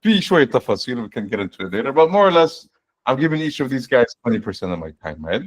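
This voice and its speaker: A man in his forties, a guest on a video-call fireside chat, explaining his work in a relaxed way with frequent restarts and "right?". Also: medium pitch, rapid-fire. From born in the United States, raised in Saudi Arabia and Canada. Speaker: guest, fractional CTO.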